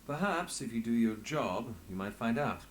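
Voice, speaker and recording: Man playing a measured, weary game inspector. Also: mid-range voice, animated, lightly acted. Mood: dry. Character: weary, dry-witted male inspector in a fantasy city. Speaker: game character. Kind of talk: scripted fantasy game dialogue. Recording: light background ambience and noise.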